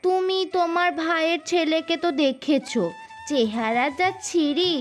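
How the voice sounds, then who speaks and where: A woman plays a proud village mother from a cartoon folktale. Her voice is very high and emphatic, doting yet sharp-tongued. Music plays behind her. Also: expressive, measured pace. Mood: haughty, scornful. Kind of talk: Bengali moral-story cartoon voice acting.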